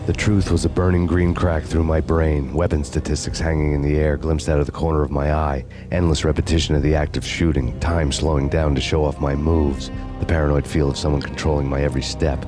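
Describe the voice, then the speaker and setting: An actor voicing a bitter, brooding fugitive cop in a grave, deadpan noir narration for a video game. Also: male voice, extremely low pitch, fairly quick, few pauses, music in the background. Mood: grim deadpan.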